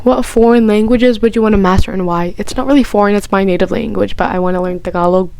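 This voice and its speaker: A woman talking straight to camera in a loose, chatty vlog, gushing and excitable. She rambles, restarts her sentences and leans on "like" all the way through. Her voice sits at an ordinary middle pitch. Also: fast, creaky. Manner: chatty, heavy use of "like". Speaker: vlogger answering personal questions. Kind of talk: casual chat.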